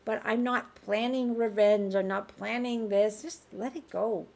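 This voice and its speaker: Raspy, gravelly woman healer. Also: mid-range voice, steady tone, unhurried. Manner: gentle, reassuring, informal. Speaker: spiritual healer hosting a live group healing call. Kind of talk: live-streamed conversational healing talk. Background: faint background music.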